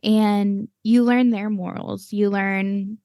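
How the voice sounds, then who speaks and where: A woman's voice: medium pitch, fairly even intonation, slow pace, mellow, no echo. Woman host, casual interview chat, rambling and upbeat, mid-range voice, dry close sound. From United States. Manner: chatty, rambling, upbeat with frequent fillers. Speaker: podcast host.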